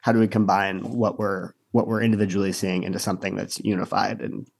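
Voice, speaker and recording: A man's voice: low-pitched, little pitch movement, fairly quick, gravelly, breathy edge. A young man from Michigan, a guest in a radio interview, who talks hesitantly and fills his sentences with fillers.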